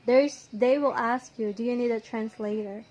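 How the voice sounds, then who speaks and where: A woman from the Philippines with a Filipino accent, telling a story in a casual, chatty, animated and giggly way. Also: on the high side, normal speed. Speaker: vlogger recounting her visa experience. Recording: faint background noise.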